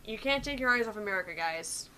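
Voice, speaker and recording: A woman's voice: medium pitch, lively intonation, fast talker, bright, forceful tone, rough recording. A woman chatting casually and playfully as she co-hosts a visual novel gaming show. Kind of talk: casual chat while playing a visual novel. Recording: clear background noise.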